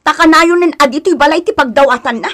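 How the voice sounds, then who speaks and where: A woman's voice: high voice, fast, a bit bright. A Filipino woman with an Ilocano accent acting an aggrieved young wife in a radio drama, plaintive and protesting. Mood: indignant. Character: aggrieved young wife, resentful of her in-laws.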